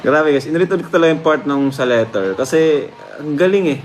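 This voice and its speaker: A man's voice: a little high, expressive, a little fast. A man hosting a reaction video, commenting casually to his viewers and repeating phrases for emphasis. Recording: clear background noise.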